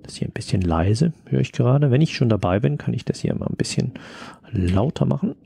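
A man presenting a casual software tutorial, thinking aloud as he works. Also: mid-range voice, animated, fairly quick, gravelly, slightly rough, dry recording. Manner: thinking aloud while working.

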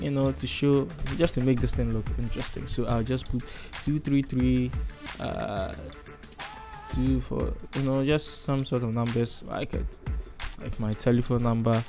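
Ghanaian guy doing a chatty how-to, kinda rambly thinking out loud, normal pitch, music pretty loud behind him. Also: a little slow. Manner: chatty, rambling, thinking aloud while working. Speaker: tutorial host. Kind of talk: casual screen-recorded software tutorial.

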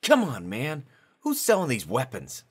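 Man playing excitable flustered teenage boy superhero. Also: medium pitch, measured pace, breathy edge, full character voice. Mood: flustered, demanding. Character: nervous, flustered teenage boy superhero trying to sound tough. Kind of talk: acted film scene imitation.

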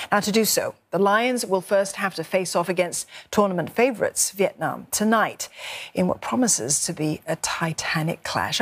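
A woman news anchor presenting in a studio, with a crisp, measured delivery. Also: medium pitch.

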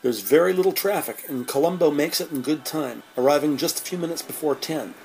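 A man narrating a detective audio drama in measured, descriptive present-tense storytelling. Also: fairly high pitch, creaky, a little mellow, rough recording. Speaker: podcast narrator. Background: music.

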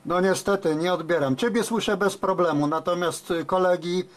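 A man talking as a radio operator in a halting, conversational CB contact. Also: sits high. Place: indoors at a desk with radio sets. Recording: very noisy, radio hiss and clicks.

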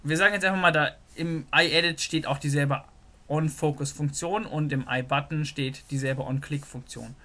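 Bright-voiced man explaining code diagrams. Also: a little high, steady tone, normal speed. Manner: thinks aloud while sketching, many "so" fillers. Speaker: programming tutor. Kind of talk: screen-recorded whiteboard lesson.

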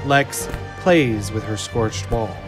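A man narrating the stage directions of an audio drama in a steady, descriptive reading. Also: lowish voice, takes it slowly, music in the background.

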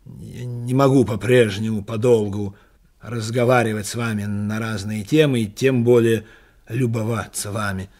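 A man's voice: medium pitch, darkish tone, voice pushed down, natural voice. A man voicing a scheming, pompous husband who feigns exhaustion in wordy formal speech, in a satirical story.

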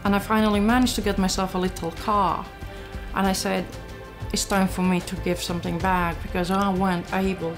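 Gravelly-voiced Latvian woman giving testimony. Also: mid-range voice, level tone, fairly quick. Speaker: church member giving testimony. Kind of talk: personal testimony. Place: small living room. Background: background music.

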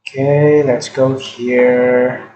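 A man's voice: mid-range voice, level tone, drawn-out words, mellow. Rambling gamer guy in an echoey room. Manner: rambling, filler-heavy, repeats words. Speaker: gaming channel host. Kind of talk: casual gameplay chat.

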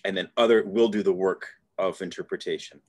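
A man casually leading an online study group, inviting others to read in a relaxed, encouraging way. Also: deep voice, brisk. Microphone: video call.

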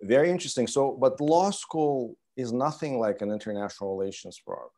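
Mellow-voiced male host, non-native English speaker. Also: mid-range voice, expressive, a little fast. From outside Canada. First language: not English. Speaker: interview host. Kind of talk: conversational interviewing. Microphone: video call.

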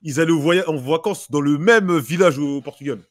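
A young man hosts a casual live stream from a small room, speaking into a headset. His voice sits high and he talks fast, full of animated street slang. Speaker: show host.

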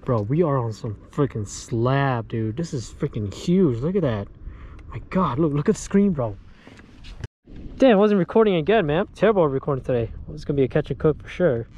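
Brief: young male fishing vlogger, animated higher voice, head-mounted camera mic, faint lake ambience. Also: fairly quick, slight background noise. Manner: excitable, shouts on catches, says dude and bro a lot. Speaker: fishing channel host. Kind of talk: excited casual fishing vlog. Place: outdoors on a boat on a lake. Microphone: action camera worn on head.

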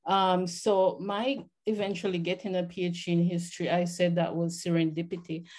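A middle-aged woman with a Jamaican accent, speaking on a panel over a video call, telling her story in an animated way. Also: lowish voice, moderate pace. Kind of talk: reflective personal account on a panel. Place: home room, indoors. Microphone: laptop webcam video call.

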